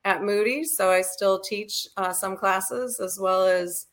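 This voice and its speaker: A woman's voice: medium pitch, takes it slowly, fairly bright tone. Laughing female professor guest. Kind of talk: interview answers.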